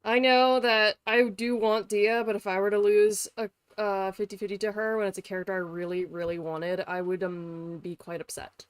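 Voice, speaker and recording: A woman's voice: mid-range voice, little pitch movement, normal speed, hardly any pauses, clear, resonant tone. A woman livestreaming a game, chatting in a casual, rambling way with playful teasing and some swearing. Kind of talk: casual gaming stream chat. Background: music in the background.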